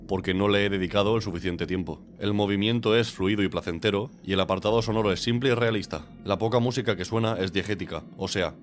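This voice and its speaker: Congested low-voiced man with a cold. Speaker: game reviewer, channel host. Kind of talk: scripted voice-over review.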